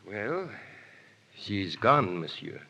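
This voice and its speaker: A cold French villain in vintage radio drama, formal and sardonic. The voice is lowish but swings dramatically, over a hissy, noisy recording with long echo. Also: male voice, big pitch swings, extremely slow, vocal fry, sharp tone, full character voice. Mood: coldly menacing. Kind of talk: 1930s radio drama acting.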